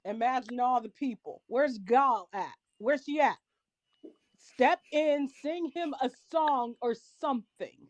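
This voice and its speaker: A woman's voice: sits high, a little slow. A woman chatting casually as a panelist, with a dry, sarcastic manner. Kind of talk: casual chat.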